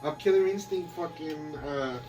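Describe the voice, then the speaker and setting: A man with a high voice chats as a podcast co-host, loose and rambling, full of slang and swearing. The recording places him slightly off the mic, and music is clearly audible underneath. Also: moderate pace, a little mellow. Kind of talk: casual chat about movies and video games. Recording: distant mic with music behind.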